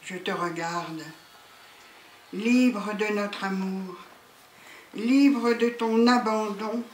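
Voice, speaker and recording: Very slow, echoey poetry recitation. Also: mid-range voice. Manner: measured, deliberate, pausing between phrases. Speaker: poet reading at a book event. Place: indoor literary event room. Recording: echoey room with background noise.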